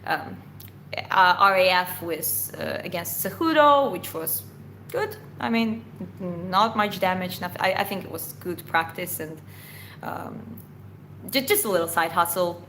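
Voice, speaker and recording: A woman's voice: lowish voice, animated, unhurried, fairly bright tone, slight background noise. A Georgian woman with an Eastern European accent, whose first language is Georgian, hosting a casual chat Q&A and answering viewer questions in a loose, rambling way with lots of fillers and restarts.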